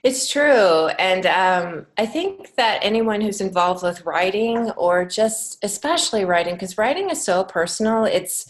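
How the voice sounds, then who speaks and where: An American woman speaking as a podcast guest in a conversational way, earnest and encouraging, pausing to reflect as she goes. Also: medium pitch, lively intonation. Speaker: guest, children's book author and writing teacher.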